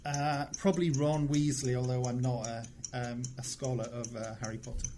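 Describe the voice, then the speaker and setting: A man's voice: mid-range voice, little pitch movement, moderate pace, warm tone, slight background noise. A man chatting casually as a podcast guest, with a playful, dry sense of humour. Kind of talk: casual interview chat.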